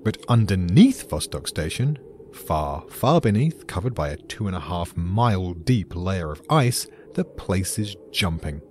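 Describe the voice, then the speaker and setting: British male explainer, dry and dramatic, lowish and animated, faint background noise. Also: unhurried. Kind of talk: scripted podcast narration.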